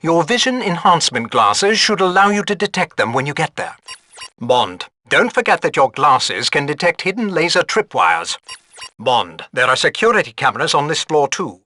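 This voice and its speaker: An actor playing a fussy British gadget master in a spy video game, speaking formally in a clipped, dryly exasperated way. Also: male voice, a little high, very animated, normal speed, pushed and bright. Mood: brisk, instructive. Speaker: mission support quartermaster. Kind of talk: video game mission briefing voice acting.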